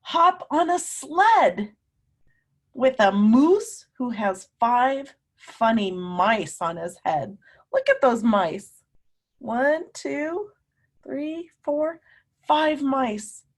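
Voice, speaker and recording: High, husky woman reading to children. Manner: animated, emphatic, drawn-out words, coaxing young children along. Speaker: teacher reading a picture book aloud to students. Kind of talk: children's read-aloud with questions to listeners.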